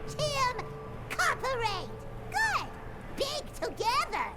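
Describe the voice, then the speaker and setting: An actor voicing a small, excitable forest creature that speaks in broken, childlike phrases for a video game. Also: very high voice, slow pace, background noise, heavily acted. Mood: excited. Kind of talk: video game character acting.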